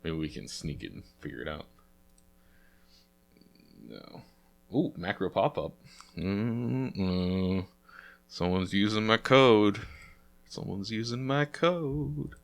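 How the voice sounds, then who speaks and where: A deep-voiced young man hosts a relaxed game playthrough, sounding congested and sniffly from a cold. He rambles along casually, dropping frequent fillers as he clicks through. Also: takes it slowly, creaky, long pauses. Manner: chatty, rambling, lots of uh fillers. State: stuffy nose from a cold. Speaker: let's play host.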